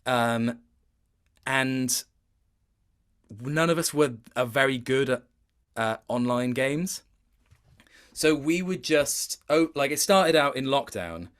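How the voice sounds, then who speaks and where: A man's voice: mid-range voice, takes it slowly, long pauses, fairly bright tone, clean tone. Guy in his late 20s, casual gaming chat, kinda rambly, keeps rephrasing himself. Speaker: interview guest, voice actor.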